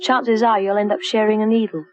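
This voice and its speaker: A woman's voice: medium pitch, flat delivery, brisk, highs cut off. A woman reading a scripted warning voice-over as narrator of a public information film.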